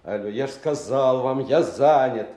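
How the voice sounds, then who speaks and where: An actor playing a brusque, authoritative Soviet colonel in a radio drama, speaking in a firm, clipped, insistent way. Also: male voice, medium pitch, lively intonation, unhurried, background noise, close to an everyday voice. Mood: brisk, impatient.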